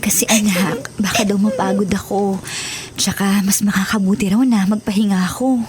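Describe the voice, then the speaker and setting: A woman's voice: medium pitch, steady tone, moderate pace, heavy background noise, lightly acted. A woman playing a tender, ailing Filipino mother who pleads and soothes in a television drama. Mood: tender, soothing.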